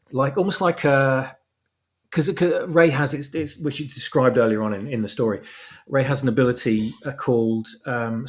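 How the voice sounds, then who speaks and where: A man in a casual discussion, explaining things at length as a guest author, often repeating words as he goes. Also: medium pitch. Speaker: fan fiction author and podcast guest. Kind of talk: casual discussion of story lore.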